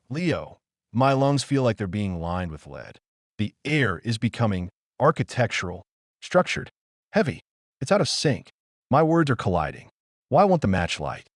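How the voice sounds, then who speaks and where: A man's voice: medium pitch, dry recording, clean studio sound, natural voice. A man playing a deranged, pious, controlling middle-aged father in a scripted audio drama monologue, sanctimonious and coldly self-justifying in short emphatic phrases. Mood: strained, labored.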